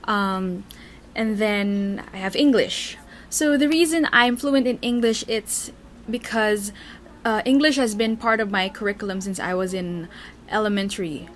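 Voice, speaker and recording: A woman's voice: medium pitch, measured pace, a little hiss or hum. A young woman with a Filipino accent, chattily reading out fan questions and answering them at length in a casual Q&A video. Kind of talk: casual Q&A vlog.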